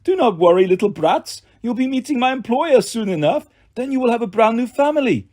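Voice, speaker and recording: A man's voice: extremely high pitch, sing-song, brisk, darkish tone, pitched above the performer's own voice, exaggerated voice. A man voicing a menacing, smug monster villain who talks in a formal, taunting way for a story. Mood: disdainful.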